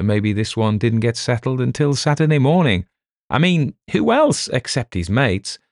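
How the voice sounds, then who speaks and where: A man's voice: medium pitch, a little mellow, no room sound, clean studio sound, voice pushed up, close to an everyday voice. A man voicing a polite, long-suffering young police sergeant who speaks carefully.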